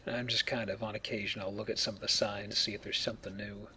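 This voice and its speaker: A man's voice: lowish voice, little pitch movement, quick pace, background noise. A man giving casual, wry, joking commentary as he plays a video game. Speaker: let's play host and player.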